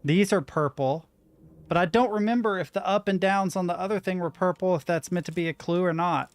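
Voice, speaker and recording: Brief: young male gamer, slightly high voice, mumbles his way through a puzzle. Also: a little fast, no echo. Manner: thinking aloud, muttering to himself. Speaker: gaming streamer. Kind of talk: let's play commentary. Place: room at home with a window and a rattling door.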